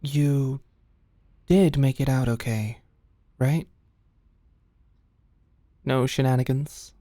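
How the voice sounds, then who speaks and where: A woman's voice: extremely low pitch, fairly even intonation, slow pace, warm tone, dry recording. A woman playing a flustered, lovestruck office ex-employee, hesitant and rambling, in a scripted ASMR audio roleplay. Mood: flustered, eager.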